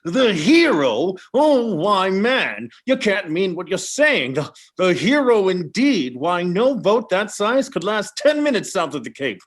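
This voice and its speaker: A man playing an indignant, blustering father in an old-time radio play, with drawn-out exclamations. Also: high voice, big pitch swings, full character voice. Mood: indignant disbelief.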